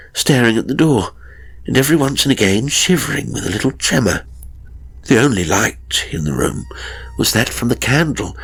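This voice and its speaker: A man narrating a first-person horror story dramatically, in a measured, suspenseful way with deliberate pauses. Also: mid-range voice, a bit bright, breathy edge. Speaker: audiobook narrator.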